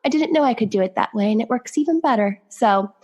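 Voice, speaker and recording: A woman hosting a podcast, a little nasal from sinus congestion, bubbly and quick with praise. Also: fairly high pitch, a little mellow, lo-fi sound. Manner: bubbly, laughs often, gushing praise. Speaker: podcast host. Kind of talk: casual interview chat.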